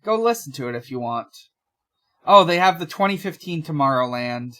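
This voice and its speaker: A podcast co-host chatting casually in a halting, rambling way. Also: medium pitch, expressive, bright, forceful tone.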